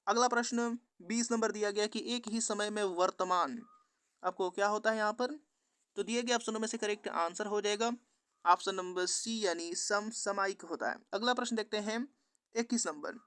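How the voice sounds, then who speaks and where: A man's voice: high voice, fairly bright tone, dry recording, clean studio sound. A man teaching an exam-prep lesson, reading out quiz questions and answers in a repetitive, instructional way.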